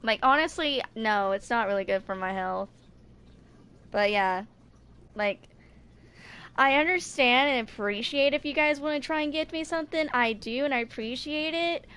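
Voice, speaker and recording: Woman in her twenties, livestream chat, high-ish pitch, clean smooth tone, informal, rambling, repetitive phrasing. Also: moderate pace, a bit bright. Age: 20s. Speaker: streamer.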